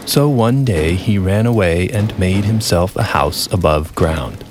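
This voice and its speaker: A man narrating a scripted folktale as a storyteller, deliberate and dramatic with pauses. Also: sits low, lively intonation. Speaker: storyteller, podcast host. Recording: music behind the voice. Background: music.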